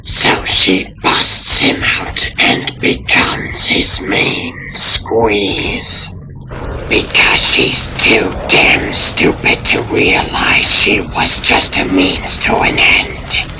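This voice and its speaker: A man voicing a cruel, taunting young villainess in a comic dub, sneering in a breathy, gravelly whisper. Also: on the low side, fairly even intonation, extremely slow. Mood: mocking contempt. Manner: sneering, contemptuous. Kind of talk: fan comic dub acting. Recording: clear noise behind voice.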